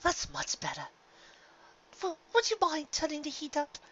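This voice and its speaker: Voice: high voice, wildly swooping pitch, moderate pace, a bit bright, muffled recording, a little hiss or hum, voice pushed up, full character voice. A narrator voicing a prim, dramatic female pony in a theatrical, genteel, exclamatory way for a fan fiction audiobook. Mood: dreamy, genteel.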